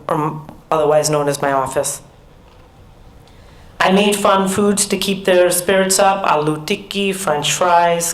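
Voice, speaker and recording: A middle-aged American woman giving an earnest, measured personal talk as a conference speaker, with small restarts. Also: on the low side. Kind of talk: personal talk at a research summit. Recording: echoey hall.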